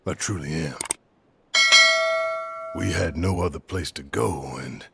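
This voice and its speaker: An actor playing a burdened, remorseful fighter in a video game drama, with a gravelly, halting, solemn delivery. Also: male voice, rumbling low, heavy background noise, heavily acted. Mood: weary, remorseful. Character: burdened, remorseful big-hearted fighter, a grown man.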